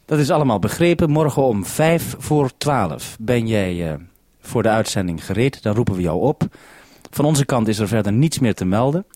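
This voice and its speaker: Mellow, low-pitched male radio presenter. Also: expressive, no room sound. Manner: businesslike, measured. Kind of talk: formal radio call procedure. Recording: 1970s radio broadcast.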